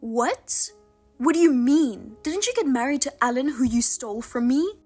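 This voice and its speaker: A woman voicing a betrayed older sister who talks in a flat, cold, restrained way. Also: sits high, moderate pace, soft background music. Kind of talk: narrated drama voice-over.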